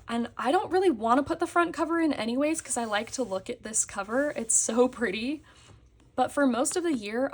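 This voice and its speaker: A young woman, slightly hoarse after a concert, chatting casually as she shows her planners on camera. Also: a little high. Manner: chatty, with fillers and frequent laughs. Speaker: planner vlogger. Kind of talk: casual show-and-tell chat. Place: indoors at a desk, hands on a table.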